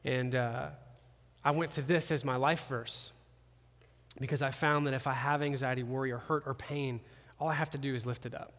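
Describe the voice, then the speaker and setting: A man preaching a sermon as campus pastor, animated and conversational, with playful jokes aimed at the congregation. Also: medium pitch, normal speed. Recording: long reverb of a large hall.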